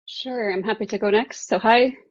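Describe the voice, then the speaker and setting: A woman's voice: a little high, normal speed, lo-fi sound. A woman from Estonia who is a startup founder, introducing herself and her work in a professional panel presentation.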